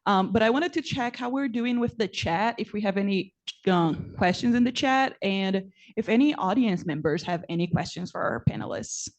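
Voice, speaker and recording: A woman's voice: mid-range voice, animated, normal speed, highs cut off. A woman moderating a panel in an upbeat, organized way.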